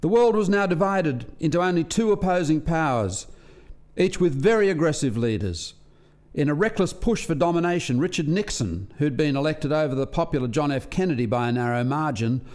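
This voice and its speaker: An actor playing an earnest alien lab scientist in a radio play, explaining things in a measured, articulate way. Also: male voice, on the high side, expressive, a little mellow, close to an everyday voice. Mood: grave, explanatory. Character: earnest alien male lab scientist defending a failed experiment.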